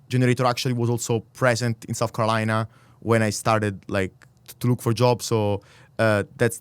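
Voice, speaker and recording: A man in his thirties with an Italian accent, a native Italian speaker, talking as a podcast guest in earnest, halting, restarted phrases. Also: fairly low pitch, clear, resonant tone, no room sound. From Italy. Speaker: podcast guest, startup accelerator director. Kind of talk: casual interview conversation.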